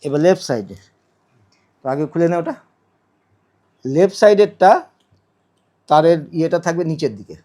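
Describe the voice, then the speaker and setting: A man's voice: on the high side, expressive, normal speed, pauses often, dark tone. A man giving a casual hands-on tutorial, explaining step by step and often repeating his phrases. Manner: instructive, repeats phrases while demonstrating. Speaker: vlogger demonstrating product installation.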